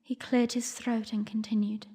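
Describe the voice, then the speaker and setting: A woman acting as a young princess tells her story in a fantasy audio drama. Her voice is fairly high and soft-edged, formal and reflective, with weary earnestness and quiet defiance. It rings in long reverb over music. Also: level tone, normal speed, husky. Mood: reflective, wistful. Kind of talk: first-person audio drama narration.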